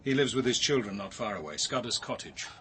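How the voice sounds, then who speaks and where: Precise, composed detective, crisp edge. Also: male voice, on the low side, lively intonation, pushed and bright, a little hiss or hum. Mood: measured, observant. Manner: measured, precise, articulate. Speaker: actor in a radio detective drama. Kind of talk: acted British radio drama dialogue.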